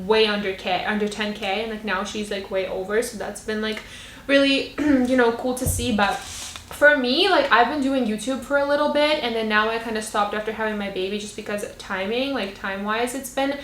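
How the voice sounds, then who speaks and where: A woman's voice: fairly high pitch, fairly even intonation, fairly quick, a bit bright. A woman in her late twenties co-hosting a podcast in casual chat, full of likes and you knows. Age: 20s. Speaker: podcast co-host. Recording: roomy echo, faint background hum.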